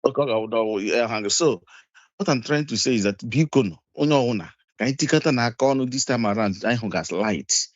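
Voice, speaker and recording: A man's voice: mid-range voice, animated, fast talker, no room sound, highs cut off. A man calling in to a talk show, switching back and forth between Igbo and English. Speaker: caller.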